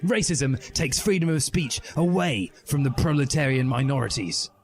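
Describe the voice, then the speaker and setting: A man's voice: mid-range voice, animated, moderate pace, voice pushed up, lightly acted. A man voicing a self-important, indignant teenage boy revolutionary in a comic story reading. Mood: outraged. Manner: indignant, bombastic. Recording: music behind the voice.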